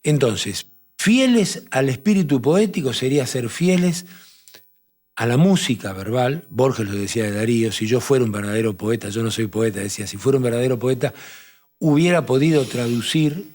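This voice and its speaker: A man with a Rioplatense accent explaining poetry and translation in a measured talk-show conversation, often restarting his phrases. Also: medium pitch, darkish tone. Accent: Rioplatense Spanish. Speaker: literature professor on a TV talk show.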